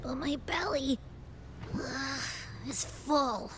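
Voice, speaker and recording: A woman's voice: high-pitched, steady tone, very slow, noisy background. A woman voicing a sleepy, childlike young female character in a video game. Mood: drowsy.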